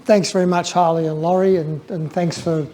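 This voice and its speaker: An older Australian man giving an informal public talk, reflective and hesitant, often stammering over the starts of phrases in a flat, dull voice. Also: fairly high pitch, a little fast, a little hiss or hum. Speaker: guest speaker, former museum photography curator.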